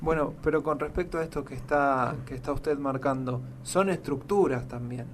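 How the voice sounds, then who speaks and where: A man's voice: mid-range voice, moderate pace, slight background noise. Hesitant male interviewer. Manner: brief, hesitant questions. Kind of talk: interview questions.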